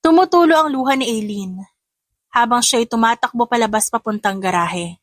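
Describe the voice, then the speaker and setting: Woman reading a drama story as narrator, highish voice, really dry close sound. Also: normal speed, dry recording.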